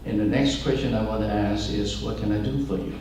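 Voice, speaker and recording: An older man, a pastor whose first language is Chinese, speaking to an audience in a large room, pausing often to search for words. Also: on the low side, fairly even intonation, darkish tone, very echoey, recorded from a distance, slight background noise, low-quality recording. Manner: halting, searches for words with many fillers. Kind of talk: speech to an audience.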